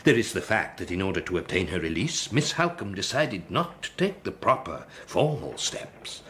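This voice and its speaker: An actor playing a sober, sceptical Victorian family solicitor with an English accent, formal and measured, in an audio drama. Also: male voice, low-pitched, sing-song, a bit bright, a little hiss or hum. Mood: sceptical, regretful.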